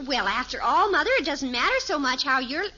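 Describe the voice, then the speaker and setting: A woman's voice: sits high, expressive, a little fast, pushed and bright. A woman playing an eager, whiny young daughter in a 1950s radio sitcom. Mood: dramatic, pleading. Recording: muffled, noisy old radio recording.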